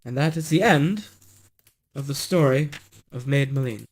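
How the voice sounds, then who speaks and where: A man's voice: mid-range voice, unhurried. A man narrating a bedtime fairy tale as a storyteller. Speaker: storyteller, streamer.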